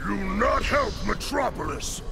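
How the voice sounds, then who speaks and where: A lumbering, dim-witted undead clone of a superhero. The voice is gravelly and slow, blustering in broken grammar. Loud video game music plays behind. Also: male voice, sits high, lively intonation, heavily acted.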